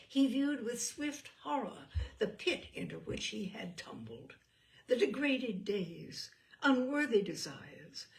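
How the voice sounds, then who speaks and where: Gravelly-voiced woman reading a story aloud. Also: mid-range voice, rough recording. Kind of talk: expressive literary narration.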